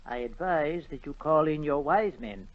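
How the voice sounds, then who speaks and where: A man's voice: on the high side, expressive, moderate pace, close to an everyday voice. Man playing proper, fussy royal courtier. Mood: deferential. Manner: formal, deferential, slightly fussy. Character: proper, patient male royal courtier and adviser. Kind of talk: 1940s radio acting. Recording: dull vintage 1940 radio recording.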